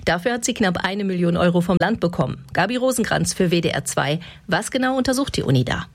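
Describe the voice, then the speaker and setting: Low-voiced woman reading regional news. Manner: even, measured delivery. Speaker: radio news presenter. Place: radio studio.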